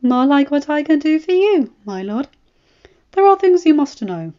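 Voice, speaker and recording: Scheming male spymaster, played by a woman, high voice, knowing, indulgent, formal, fantasy storybook. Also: big pitch swings, moderate pace, dark tone, highs cut off. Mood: smooth, knowing. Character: shrewd, smooth, scheming male royal spymaster.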